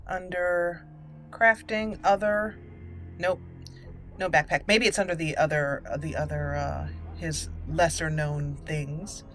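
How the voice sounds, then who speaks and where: A woman hosting a roleplay game playthrough, deliberately narrating her actions aloud. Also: low-pitched, steady tone, fairly bright tone. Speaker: gaming channel host. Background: faint game music.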